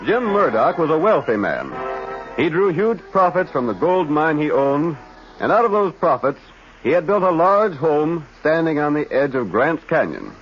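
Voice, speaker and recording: Stirring, high-voiced vintage radio announcer man. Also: sing-song, moderate pace, soft background music. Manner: stirring, declamatory. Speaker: announcer-narrator. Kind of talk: 1940s radio dramatic narration. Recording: muffled old radio recording.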